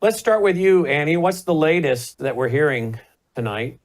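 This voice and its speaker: A middle-aged man hosting a political talk show, speaking emphatically with forceful rhetorical questions. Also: a little high, lively intonation, normal speed. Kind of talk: political commentary and panel hosting.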